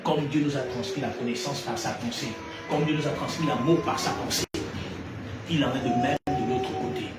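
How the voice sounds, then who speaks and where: A man's voice: moderate pace, over loud music. Preacher man, echoey and distant. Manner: animated, rising to loud emphatic peaks then dropping low. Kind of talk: sermon. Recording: echoey room from far off, noisy ambience.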